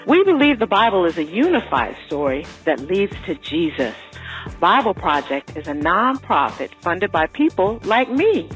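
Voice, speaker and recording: A woman's voice: on the low side, big pitch swings, normal speed, soft background music, low-quality recording. A woman from Maryland giving a short read testimonial as a supporter, friendly and plain. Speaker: listener supporter in an ad.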